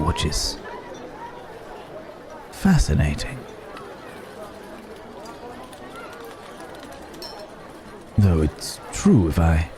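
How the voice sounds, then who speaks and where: Courteous werewolf in human guise, played by a man, for ASMR roleplay: deep, unhurried, wary and wordy. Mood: intrigued, guarded. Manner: hesitant, musing, old-fashioned wordy phrasing. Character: courteous city-bred man secretly a werewolf, thoughtful, wary. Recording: market crowd and outdoor ambience noise. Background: bustling village market ambience.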